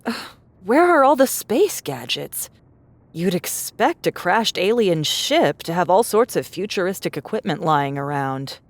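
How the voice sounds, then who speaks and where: A bold young woman explorer from a sci-fi audiobook. Her voice sits a little low, and she talks in a brisk, sardonic way, like someone used to taking the lead. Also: very animated, no room sound. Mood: impatient. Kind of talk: audiobook character acting.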